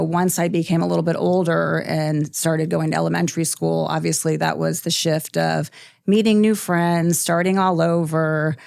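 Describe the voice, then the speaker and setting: A middle-aged woman, a company president, telling stories from her childhood in a relaxed, thoughtful interview with many pauses. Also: low-pitched, gravelly, dry recording, studio quality. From born in Iceland, military family. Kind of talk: podcast interview, reflective storytelling.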